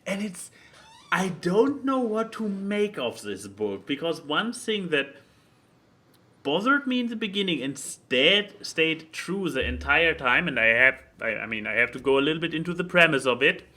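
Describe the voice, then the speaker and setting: Guy on a podcast rambling thru a book review, bright forceful voice, keeps repeating words. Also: a little high, big pitch swings. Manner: halting, rambling, repeats words while thinking aloud. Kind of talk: casual opinionated chat.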